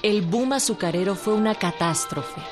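A woman's voice: mid-range voice, animated, moderate pace. Mexican woman announcer over string music. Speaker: announcer and narrator. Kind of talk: scripted announcement and narration.

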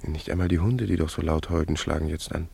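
Gravelly, low-voiced lovestruck young wanderer. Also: male voice, steady tone, quick pace, darkish tone, exaggerated voice. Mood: wondering, subdued. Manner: musing aloud in short, broken phrases. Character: earnest, lovestruck young man wandering at night, musing aloud. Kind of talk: German radio drama acting.

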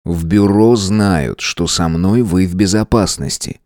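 Man voicing a slow, soothing captor. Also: low voice, darkish tone, dry recording, studio quality. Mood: calm. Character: calm, soothing male captor, composed.

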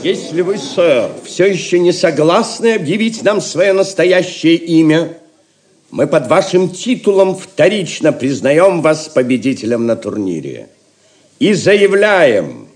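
A haughty medieval prince in an audio drama. His fairly high voice swings widely in pitch and moves slowly and deliberately. He sounds imperious, theatrical and vengeful. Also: full character voice.

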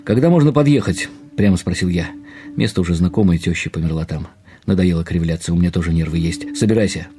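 A man's voice: low voice, fast talker, dark tone, close to an everyday voice. An actor playing a weary, ironic husband who narrates his troubles in a literary audio play with wry, bitter resignation. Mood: curt, fed up.

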